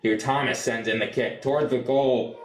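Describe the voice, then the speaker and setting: A young adult man doing live soccer play-by-play for radio, describing the action in an animated way. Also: medium pitch, moderate pace, reverberant, a little hiss or hum. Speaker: play-by-play sportscaster.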